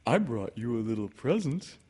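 A man performs a kindly storybook bear with a raised, swinging pitch. He is childlike and none too clever, speaking slowly and haltingly with cheerful earnestness. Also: huge pitch swings, a little mellow, husky, heavily acted. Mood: cheerful, earnest.

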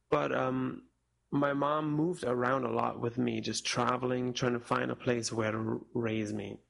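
Gravelly young man, reflective and halting. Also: mid-range voice, rough recording. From Spain, raised in Portugal. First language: German. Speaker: interview guest, musician. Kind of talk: casual interview talk.

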